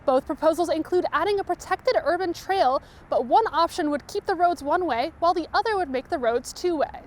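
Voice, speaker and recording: A woman's voice: extremely high pitch, a little fast. A woman reporting local news in a scripted narration.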